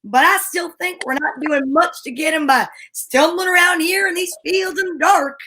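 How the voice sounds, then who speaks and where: High, plucky woman as a radio-serial deputy. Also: normal speed, bright, forceful tone, low-quality recording. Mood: earnest, plucky. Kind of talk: amateur old-time radio acting.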